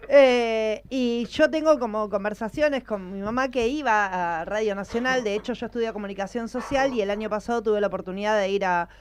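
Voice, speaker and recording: A woman's voice: on the high side, brisk, few pauses, a little hiss or hum. An Argentine woman in her early fifties, chatting casually and putting questions in a conversation.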